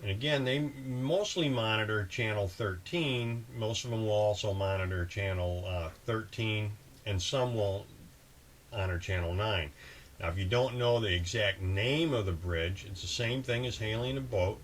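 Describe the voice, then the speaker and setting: A man with a fairly low voice hosts a casual how-to talk on boating. He speaks conversationally and practically, as if walking a viewer through the steps. There is some ambient noise behind him. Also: measured pace. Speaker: host of a boating channel. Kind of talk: casual instructional talk with example radio calls. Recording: clear steady background ambient noise.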